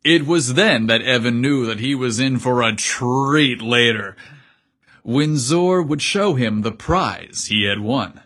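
Guy reading a story out loud, theatrical n emphatic, bit of husk, medium pitch. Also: takes it slowly, slightly rough. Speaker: storyteller, host. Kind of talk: dramatic scripted story narration.

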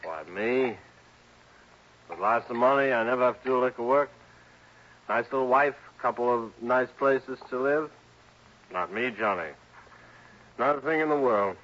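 A man's voice: mid-range voice, lively intonation, normal speed, long pauses, fairly bright tone. A man playing an anxious, hard-drinking young husband in a mid-century radio drama, hesitant and stammering. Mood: tipsy, evasive. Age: young adult. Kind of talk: 1950s-60s radio drama acting. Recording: dull old radio recording.

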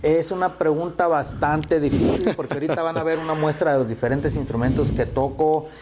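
A man's voice: a little high, steady tone, a little fast, noisy background. A Mexican man in his fifties, a musician answering interview questions in a hesitant way, full of fillers and repeated words. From Sonora, Mexico. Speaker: musician guest.

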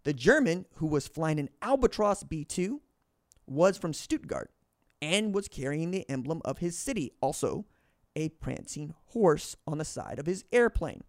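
A man with a fairly high voice reads aloud on his podcast and mixes in his own commentary. He trips over words and corrects himself as he goes. The recording is dry and close, with no room sound. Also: sing-song, a little slow, no echo. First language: English. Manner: stumbles and corrects himself mid-sentence. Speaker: podcast host. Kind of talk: reading a book aloud with personal commentary.

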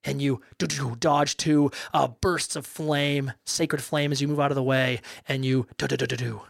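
Game master type, male, gravelly and a touch high, playful and swearing, dry close mic. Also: moderate pace, no room sound. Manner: animated, joking, swears casually. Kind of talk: casual podcast banter and game narration.

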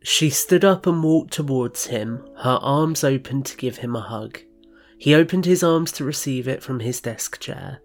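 A man's voice: medium pitch, measured pace, soft background music. A man reading scripted third-person narration as the narrator of an audio drama.

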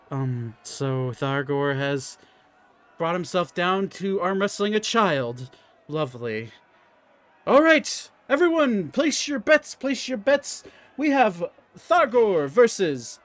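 A man's voice: sits high, big pitch swings, slow, fairly bright tone, no room sound, pitched above the performer's own voice, exaggerated voice. A man voicing a smug male half-elf fight announcer who calls out bets in a showy, snide way. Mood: announcing.

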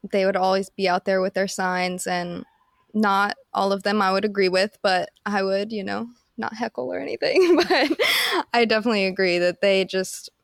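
A woman's voice: mid-range voice, vocal fry, dry recording, studio quality. A young woman, a college student speaking casually and chattily on a panel. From West Virginia. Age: young adult. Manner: casual, chatty, laughs easily.

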